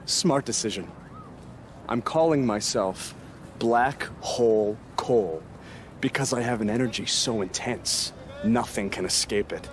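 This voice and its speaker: A man's voice: fairly low pitch, unhurried, slight background noise, lightly acted. An actor playing a sarcastic, taunting male wrestler in a video game, with a mocking, theatrical, teasing way of talking. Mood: boastful. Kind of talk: acted cutscene dialogue.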